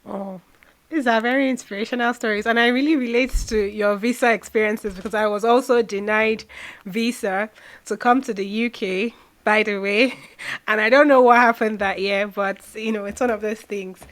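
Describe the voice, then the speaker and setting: Brief: woman interviewer, mid-pitched voice, long searching questions. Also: fairly even intonation, normal speed, a bit bright. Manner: searching phrasing, rephrases questions. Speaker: podcast host.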